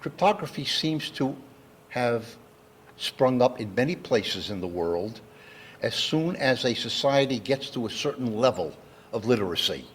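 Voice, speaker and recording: A man giving an educational public lecture, explaining terms in a deliberate, step-by-step way. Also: medium pitch, lively intonation, fairly bright tone, slight background noise. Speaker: lecturer, engineer. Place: talk given live to an audience.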